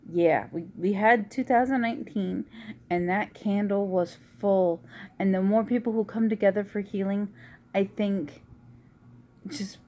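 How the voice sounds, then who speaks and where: A woman with a quiet, low voice chatting casually in a rambling home vlog. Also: a little hiss or hum. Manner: rambling, soft-spoken, uses y'all. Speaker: vlogger. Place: at home indoors.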